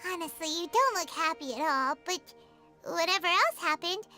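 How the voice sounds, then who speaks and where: Squeaky, excitable tiny companion. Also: female voice, moderate pace, heavily acted. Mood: concerned, encouraging. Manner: exclamatory, nosy, chirpy. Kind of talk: video game voice acting. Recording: loud music under the voice.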